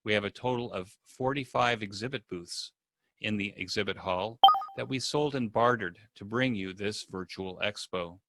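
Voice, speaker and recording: A man giving a prepared welcome address as an event host, with formal, deliberate phrasing. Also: fairly low pitch, fairly bright tone, dry recording, poor audio.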